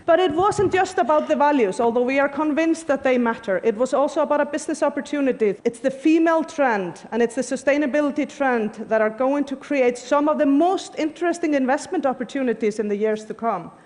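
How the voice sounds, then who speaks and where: A woman's voice: high-pitched, brisk, hardly any pauses, darkish tone. A woman, giving an earnest, emphatic prepared talk on stage. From Iceland. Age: 40s. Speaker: conference speaker. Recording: long hall reverb.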